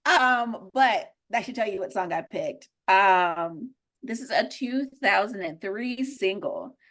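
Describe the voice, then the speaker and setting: A woman's voice: mid-range voice, lively intonation, takes it slowly, fairly bright tone. A woman whose first language is Spanish, co-hosting a podcast in casual chat, talking with many ums and likes. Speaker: podcast co-host.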